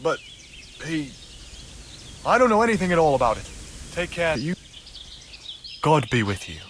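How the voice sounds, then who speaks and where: An actor playing a guarded, evasive medieval charcoal burner in a video game, speaking in a wary, hedging way. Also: male voice, a little high, very animated, a little slow, fairly bright tone, slight background noise. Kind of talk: video game cutscene dialogue.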